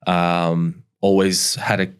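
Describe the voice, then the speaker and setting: Deep-voiced young male guest, easygoing storyteller who laughs often. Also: flat delivery, slow pace, clear, resonant tone. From grew up partly in Lebanon. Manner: chatty, laughs often, repeats words. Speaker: podcast guest, conveyancer. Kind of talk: casual storytelling interview.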